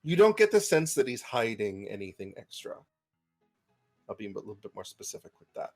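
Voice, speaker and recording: Lively teasing male game master. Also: medium pitch, a little fast, soft background music. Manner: dry teasing humor. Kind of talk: narrating a tabletop game.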